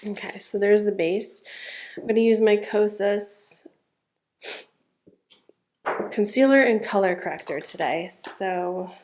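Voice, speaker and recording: A woman's voice: medium pitch, slow pace, long pauses, a little hiss or hum. A young woman with a stuffed-up nose who chats casually through a makeup tutorial, narrating every step with lots of "like" and "um". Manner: chatty, relaxed, narrating each step with fillers. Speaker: beauty vlogger.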